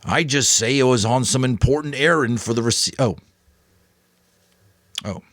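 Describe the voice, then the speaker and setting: A man's voice: mid-range voice, a little slow, no echo, studio quality. A man narrating a book expressively, breaking off for casual commentary. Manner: casual asides between expressive reading. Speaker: amateur audiobook narrator.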